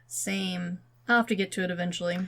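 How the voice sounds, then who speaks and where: A woman's voice: lowish voice, steady tone, fairly quick, gravelly. A woman co-hosting a podcast, chatting casually about movies and games. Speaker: podcast co-host.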